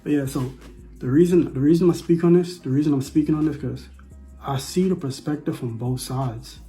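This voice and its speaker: Mellow-voiced man with an American accent. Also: on the high side, moderate pace, soft background music. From the Bahamas. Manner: repeats phrases for emphasis, loose slangy talk. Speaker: vlogger. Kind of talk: casual opinion monologue.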